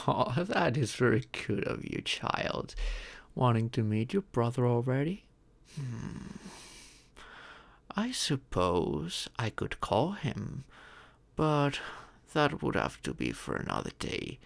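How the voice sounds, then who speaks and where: A man plays an immortal old dragon father in an ASMR roleplay. The voice crackles with gravelly fry and moves slowly, with extremely animated rises and falls. He speaks formally, like a patient, fond teacher who can be stern. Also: medium pitch, full character voice. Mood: fond, firm. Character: ancient immortal male dragon, former king, doting stern father. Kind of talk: ASMR roleplay, scripted monologue.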